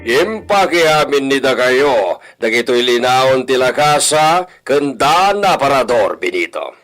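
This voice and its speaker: A stern household master in an Ilocano radio drama, acted by a man. He speaks very slowly and deliberately with an Ilocano accent, his mid-pitched voice swinging expressively as he gives orders or broods. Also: very animated, a bit bright, soft background music. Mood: commanding. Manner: deliberate, commanding.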